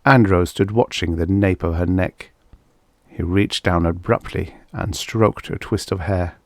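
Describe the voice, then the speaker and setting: A man narrating fiction in a dramatic, measured and deliberate literary reading style. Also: sits low, no echo. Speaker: audiobook narrator.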